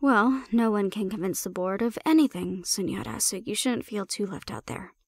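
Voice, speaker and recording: A woman's voice: mid-range voice, animated, moderate pace, no echo. A woman plays a bold female handler in an audio drama, recorded dry and close. She is sardonic and blunt, teasing but assertive, and sounds completely unintimidated. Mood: wry, confident. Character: bold, cocky non-human female handler, sardonic and unafraid. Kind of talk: audio roleplay acting.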